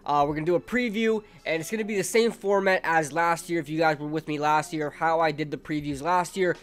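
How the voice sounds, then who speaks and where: A young man with a fairly high voice talks through a football preview in a chatty, fan-like way, dotted with fillers. He sounds stuffy and nasal from a cold. Faint music plays underneath. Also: a little fast. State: congested from a cold. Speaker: sports channel host. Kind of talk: casual football preview talk to camera.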